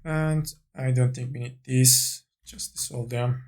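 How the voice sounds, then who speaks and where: Male tutorial narrator, slow and gravelly, unscripted, thinking aloud step by step. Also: medium pitch, fairly even intonation. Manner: tentative, thinking aloud while working. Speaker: 3D modeling tutorial instructor.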